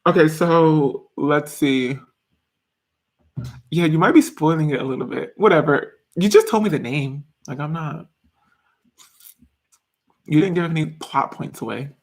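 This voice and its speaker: A man's voice: fairly high pitch, measured pace, long pauses. A man in his twenties chatting casually on a live stream from his bedroom, reading comments, laughing often and restarting his sentences. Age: 20s. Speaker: YouTuber reading live chat. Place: small bedroom, indoors.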